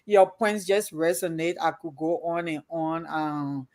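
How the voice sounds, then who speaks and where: A woman hosting an interview, talking earnestly and haltingly with many fillers. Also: sits low, a little mellow. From Liberia. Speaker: host. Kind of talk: casual interview chat.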